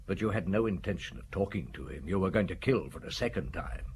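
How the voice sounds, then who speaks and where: A stern, relentless police inspector with a low male voice. He is blunt, commanding and sure of his accusations. It is audio drama, with clear noise on the recording. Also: animated, fast talker, darkish tone. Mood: relentless, accusing. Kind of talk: audio drama acting, interrogation and narration.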